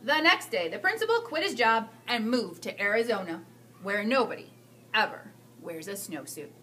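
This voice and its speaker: A woman in her thirties reading a picture book aloud to children, with expressive, drawn-out emphasis. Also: a little high, slight background noise. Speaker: school staff storyteller reading to children. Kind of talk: animated children's read-aloud.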